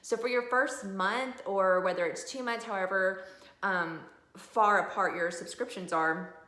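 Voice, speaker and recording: A woman's voice: medium pitch, a little slow, pushed and bright. A woman giving a casual, earnest product review, explaining things in a conversational way. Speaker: homeschooling mom reviewing a curriculum. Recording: roomy, echoey room sound.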